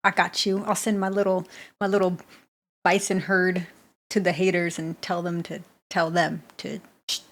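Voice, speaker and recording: A woman's voice: fairly low pitch, little pitch movement, normal speed. A woman from the Bay Area in California hosts a reaction video, chatting casually, laughing often and talking back to the clip. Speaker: reaction channel host.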